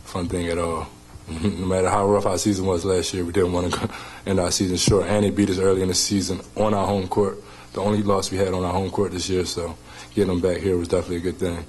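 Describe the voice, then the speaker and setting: A man's voice: low-pitched, level tone, fast, darkish tone, a little hiss or hum, rough recording. A young man, a college basketball player, giving short, matter-of-fact answers at a press conference through a table microphone. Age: young adult.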